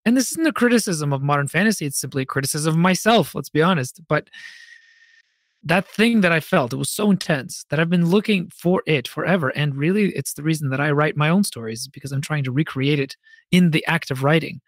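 A man's voice: on the high side, brisk, no room sound. A man hosting a podcast, delivering a prepared monologue with conversational asides and speaking expressively, like a storyteller, straight to listeners. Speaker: podcast host and fantasy author.

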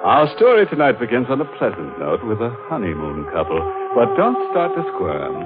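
A macabre radio horror host with a deep, leisurely delivery and wide, theatrical swings. He is mock-genial and relishes the grisly, in 1940s style. Loud music sits behind a thin, tinny old recording. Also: huge pitch swings, normal speed. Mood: gleefully macabre. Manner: mock-genial, theatrical, savouring gruesome puns. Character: macabre, jovially sinister male horror-show host.

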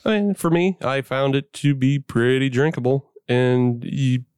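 A man's voice: mid-range voice, measured pace, a little mellow, smooth, no room sound, clean studio sound. A man hosting a casual beer podcast, laughing often and steering the talk. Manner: laughs often, leads the discussion. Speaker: podcast host. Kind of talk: casual chat.

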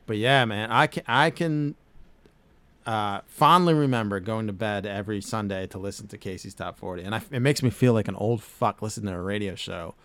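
A man's voice: fairly low pitch, brisk, a bit bright, no room sound. A middle-aged man hosting a casual podcast chat, reading facts aloud and swearing casually. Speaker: podcast host.

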